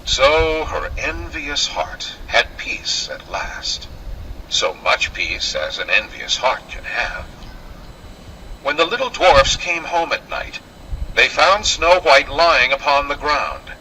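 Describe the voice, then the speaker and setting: A man's voice: mid-range voice, lively intonation, slow pace, sharp tone, slight background noise, rough recording. A man narrating a scripted fairy tale in a dramatic, old-fashioned storytelling manner.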